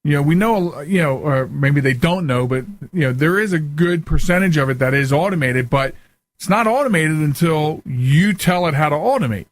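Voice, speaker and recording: A man's voice: a little high, expressive, moderate pace, no echo. A man hosting a radio interview in a conversational, rambling way, circling back and repeating phrases. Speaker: radio show host.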